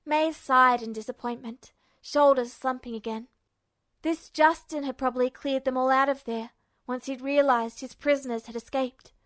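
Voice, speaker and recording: A woman reading fiction aloud as an expressive audiobook narrator. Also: high voice, normal speed, no room sound.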